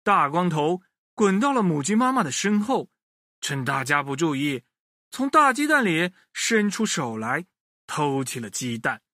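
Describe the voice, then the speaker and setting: A man narrates a storybook for small children with big swings in pitch. His voice is bright and forward, recorded close and dry, and he addresses his young audience directly. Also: big pitch swings, unhurried, no echo.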